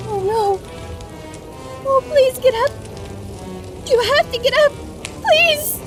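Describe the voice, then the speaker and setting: A woman playing a tiny, haughty, distrustful fantasy creature in an audio drama, squeaky and dramatically indignant. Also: animated, slow pace, over music, exaggerated voice. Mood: pleading.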